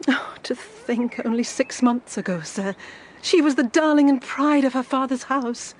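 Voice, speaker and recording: Anxious, high-voiced guardian woman. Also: measured pace. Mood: sorrowful, secretive. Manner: hesitant, pleading, formally polite. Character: anxious, guarded older female guardian keeping a sorrowful secret. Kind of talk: British period audio drama.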